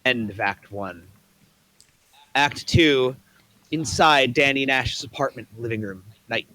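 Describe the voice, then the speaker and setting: A man reads scene directions aloud at a table read, taking them steadily and without fuss. His voice is mid-pitched, and it sounds dry and close with little room sound. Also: unhurried, no echo, poor audio. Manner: plain, even reading of scene directions. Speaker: stage direction reader.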